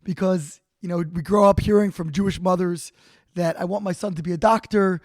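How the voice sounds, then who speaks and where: A man's voice: high voice, fairly even intonation, a little fast, dry recording, studio quality. A male podcast host interviewing a guest, friendly and curious, reacting with "wow" and "incredible". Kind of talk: interview hosting.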